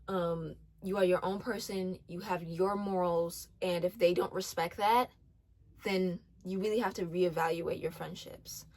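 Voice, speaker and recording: A woman's voice: on the low side, fairly even intonation, a little slow, a little mellow. A twenty-year-old woman giving casual faith-based advice, earnest, with many ums, restarts and repeated phrases. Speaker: faith vlogger.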